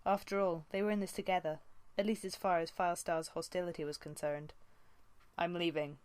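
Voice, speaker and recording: Low-pitched woman reading a story, very dry. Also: fast talker, darkish tone, no echo. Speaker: amateur audiobook reader. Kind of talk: scripted storybook narration.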